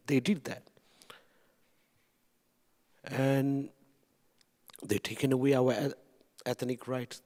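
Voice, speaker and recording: A man from western Burma gives impassioned public testimony in halting, emphatic English. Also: mid-range voice, a little mellow. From Rakhine State, western Burma. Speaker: activist speaker at a commemorative event. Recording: echoey hall sound.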